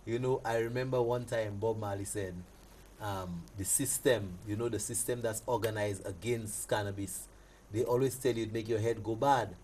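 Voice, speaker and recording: A man's voice: fairly low pitch, fairly even intonation, normal speed, a little mellow. A man with a Caribbean accent speaking as a guest in casual discussion, agreeable and affirming. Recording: slight background noise.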